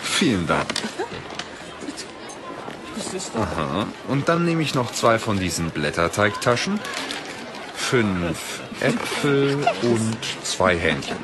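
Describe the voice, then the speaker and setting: A man playing a calm, mysterious young mage who speaks politely and formally in a fantasy audio drama. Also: fairly low pitch, takes it slowly, noisy background. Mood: smooth, courteous.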